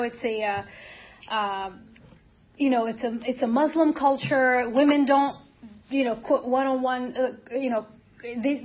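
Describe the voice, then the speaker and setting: A woman from Morocco giving a personal testimony as a speaker at a support group, in a halting, hesitant manner with repeated false starts. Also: a little high. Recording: tinny phone-like audio, clear noise, clicks.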